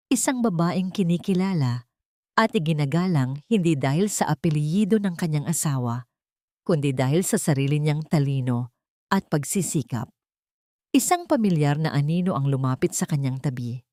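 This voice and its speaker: A woman's voice: low voice, mellow, dry recording, studio quality. A woman narrating a scripted dramatic story in a solemn, sentimental manner.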